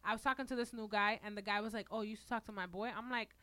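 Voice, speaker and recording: A young woman hosting a casual podcast chat, reading listener questions aloud in an animated way. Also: medium pitch, very fast. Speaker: podcast host.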